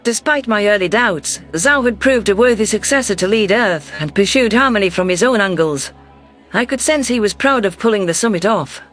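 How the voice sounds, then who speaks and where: A man reading scripted first-person science fiction narration in a measured, literary delivery. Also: high-pitched, lively intonation, a bit bright, faint music behind. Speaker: audiobook narrator.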